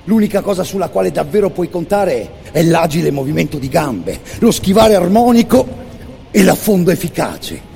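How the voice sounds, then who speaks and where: A man narrating a radio theatre monologue, intense and incantatory, with repeated words and dramatic pauses. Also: on the high side, big pitch swings, creaky, dark tone, a little hiss or hum. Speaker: actor narrating a stage monologue. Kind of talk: dramatic second-person storytelling, radio theatre. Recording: long reverberant hall sound.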